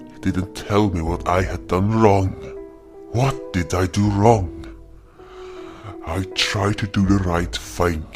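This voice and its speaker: Man voicing a vengeful beast hunter, very low voice, solemn slow delivery, dramatic storytelling, music behind. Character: brooding, wounded, vengeful male beast hunter with paws. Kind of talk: dramatic first-person story narration.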